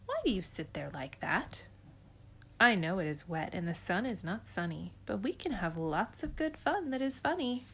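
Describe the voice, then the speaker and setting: A woman's voice: medium pitch, sing-song, moderate pace, gravelly, slight background noise. A woman voicing a mischievous male cat who shows off his tricks, boastful and coaxing.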